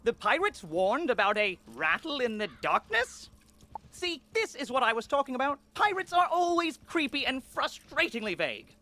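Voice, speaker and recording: An eager young guide from a fantasy video game, with a highish voice that leaps up and down. The delivery is earnest and expressive, with a worried edge. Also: female voice, moderate pace, slight background noise, heavily acted. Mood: concerned. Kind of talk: video game character acting.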